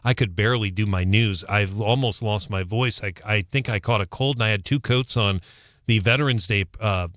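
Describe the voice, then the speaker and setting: Low-voiced man chatting on morning radio. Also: normal speed, a bit bright, no room sound, studio quality. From St. Louis, Missouri. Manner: rambling, restarting sentences, chatty. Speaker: radio news reporter. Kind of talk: casual morning radio chat.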